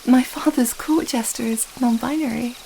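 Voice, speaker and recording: Soft, high-voiced young princess acted by a woman. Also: normal speed, creaky, a little hiss or hum, poor audio. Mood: tender, reassuring. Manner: soft, hesitant, gently rambling. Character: young princess, earnest, affectionate, slightly flustered lover. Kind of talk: intimate ASMR romance roleplay.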